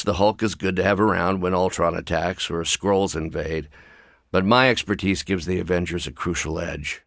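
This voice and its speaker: An actor playing a brainy, anxious middle-aged American scientist superhero, speaking in a measured, hesitant and wry way in video game dialogue. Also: male voice, sits low, a bit bright, dry recording, studio quality. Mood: dry, analytical.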